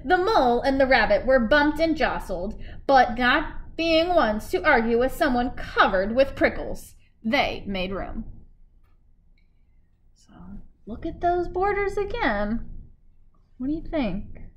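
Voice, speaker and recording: A woman's voice: high voice, very animated, slow. A young adult woman reading a children's picture book aloud like a teacher, expressively, with asides and questions to her young listeners. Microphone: device in front at about arm's length, speaking through a face mask.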